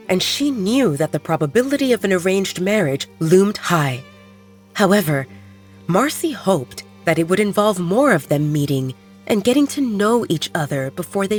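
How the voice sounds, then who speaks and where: A woman narrating a scripted story as an audiobook, with expressive, dramatic delivery. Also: lowish voice.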